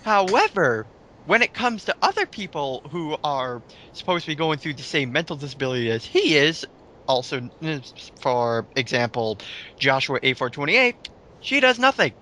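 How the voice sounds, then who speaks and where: A man's voice: a little high, big pitch swings, moderate pace, pushed and bright, a little hiss or hum. A man co-hosting a casual commentary chat in a rambling, emphatic, sweary way. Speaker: commentary video co-host.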